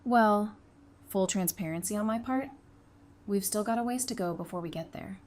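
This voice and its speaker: A woman's voice: medium pitch, moderate pace, a little hiss or hum, natural voice. An actress playing a sensible, affectionate, plain-spoken woman in an audio drama. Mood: frank. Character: frank, teasing, sensible woman, affectionate with her sweetheart.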